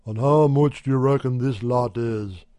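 A man voicing an easygoing working-class husband who speaks slowly in broad old dialect. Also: medium pitch, dark tone, no room sound, close to an everyday voice.

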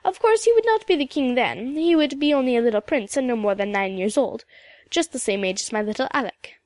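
A woman reading a storybook, voicing a proud, dignified old widow with a Highland Scottish accent and a rich, emphatic delivery. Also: animated, a little fast, a little mellow, dry recording. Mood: earnest, proud. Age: older. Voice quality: rich, powerful. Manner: stately, emphatic, lilting turns of phrase.

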